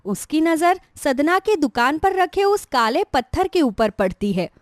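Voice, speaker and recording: Female narrator for a scripted moral tale. High register, very expressive intonation, dry recording. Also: measured pace, no room sound.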